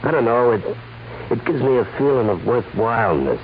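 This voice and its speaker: A man's voice: mid-range voice, sing-song, brisk. An actor playing a boastful, glib gunman who passes as a sweet talker, in 1940s radio crime drama style. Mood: smooth, boastful. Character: cocky, vicious gunman posing as a smooth-talking sweetheart. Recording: noisy, tinny 1940s radio recording.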